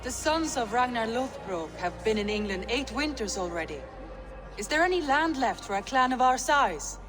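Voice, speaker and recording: An actress as a decisive, higher-voiced Viking noblewoman. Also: expressive, moderate pace, natural voice. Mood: questioning. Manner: direct, formal. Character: practical, decisive Viking clan noblewoman, wife. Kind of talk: dramatic game cutscene acting. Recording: orchestral music behind the voice.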